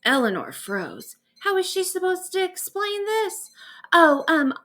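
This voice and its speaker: A woman narrating a bedtime story aloud in an expressive storytelling manner. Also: very high voice, a little slow. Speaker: author reading own stories aloud.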